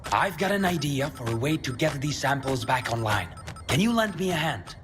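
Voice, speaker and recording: An actor playing a fussy, ambitious scientist in a video game, speaking in an exasperated, precise manner. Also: male voice, medium pitch, very animated, moderate pace, music in the background, close to an everyday voice. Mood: eager, explanatory. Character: fussy, ambitious male scientist obsessed with his research. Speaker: quest-giving scientist.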